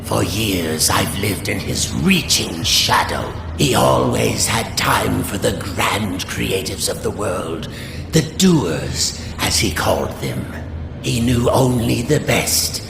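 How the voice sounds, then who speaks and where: Slow, deep, gravelly game villain. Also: male voice, animated, reverberant, music in the background, full character voice. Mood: bitter. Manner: slow, deliberate, theatrical, menacing. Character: cold, grandiose male villain scheming for godlike power and revenge. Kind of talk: dramatic video game villain monologue.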